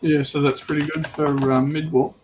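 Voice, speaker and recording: A man's voice: a little high, little pitch movement, moderate pace, darkish tone, a little hiss or hum, rough recording. A man on a livestream panel, chatting casually about a hobby.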